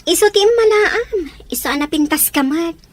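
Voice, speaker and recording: A woman's voice: very high-pitched, animated, normal speed. A woman with an Ilocano accent playing an admiring, curious young daughter in a radio drama.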